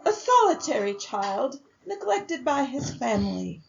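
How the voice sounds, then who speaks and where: A woman playing a solemn, commanding, probing spirit in a radio drama, speaking with deliberate gravity. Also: sits high, very animated, gravelly, dull audio, faint music behind, heavily acted. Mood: probing, grave. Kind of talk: family radio drama acting.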